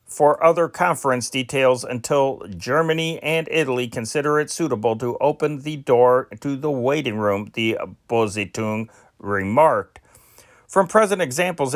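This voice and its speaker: A man reading old newspaper war dispatches aloud in a measured way, now and then stumbling and restarting a word. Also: medium pitch, no room sound, clean studio sound. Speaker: news reader.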